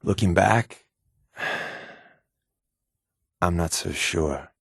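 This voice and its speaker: A man's voice: deep voice, very slow, gravelly, husky, no echo, clean studio sound, heavily acted. A man playing an easygoing, wisecracking secret agent with hidden guilt in a video game, talking in a wry, casual, conversational way. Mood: somber confession. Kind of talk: video game companion dialogue.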